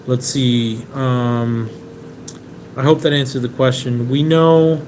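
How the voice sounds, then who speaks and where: A relaxed man from Venezuela chats through viewer questions on a live stream in a mid-pitched voice. His talk wanders, with restarts and filler words, and an air conditioner hums clearly in the room. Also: slow. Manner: relaxed, chatty, many "um"s and restarts. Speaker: car importer hosting a live Q&A. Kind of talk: casual live-stream Q&A, reading viewer comments. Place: indoors in a rented apartment. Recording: clear room noise and AC hum.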